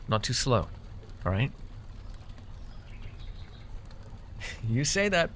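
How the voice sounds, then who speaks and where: Casting: male actor as fantasy herbalist-mage, medium pitch, proud, theatrical, easily flustered, intimate roleplay ASMR delivery, slight background noise. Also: fairly bright tone. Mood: instructing, wry. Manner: theatrical boasting that slips into sheepish, stammering asides. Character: proud, easily exasperated young magical herbalist who gave away his name.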